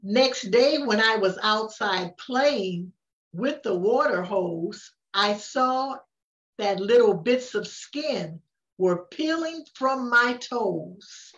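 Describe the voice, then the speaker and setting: An older woman reading a rhyming children's story aloud, with expressive, unhurried delivery and frequent pauses. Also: medium pitch. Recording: roomy, distant, dull-sounding microphone.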